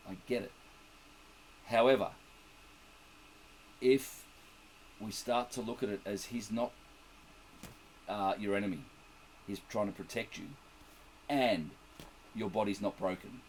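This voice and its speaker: A middle-aged Australian man with a croaky voice, a trumpet teacher talking earnestly and encouragingly in a direct video message, rambling with restarts. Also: fairly low pitch, a little mellow, a little hiss or hum, low-quality recording.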